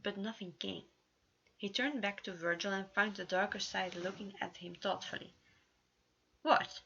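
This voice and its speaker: A woman's voice: mid-range voice, fairly even intonation, moderate pace, gravelly, pushed and bright, slightly rough, highs cut off. A woman reading scripted fanfiction narration aloud as an amateur storyteller. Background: no background atmosphere.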